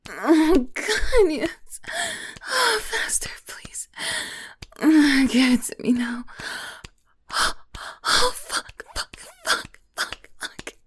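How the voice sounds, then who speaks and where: A woman performing an intimate audio roleplay, whispering and moaning in a teasing, breathy manner. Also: high voice, very animated, very slow, fairly bright tone. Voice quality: breathy, husky.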